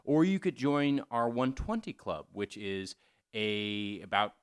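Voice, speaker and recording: A man's voice: lowish voice, sing-song, slow pace, clean tone, no room sound, clean studio sound. A man hosting for a theater company, making a casual appeal to listeners in informal, emphatic, stop-and-go phrasing.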